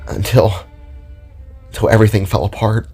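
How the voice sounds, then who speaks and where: Man playing a sorrowful, halting, low-voiced metal warrior. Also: fairly even intonation, mellow, husky. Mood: grieving. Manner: halting, hesitant, stammering. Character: Void, sorrowful male metal warrior being, last survivor. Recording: background music behind the voice.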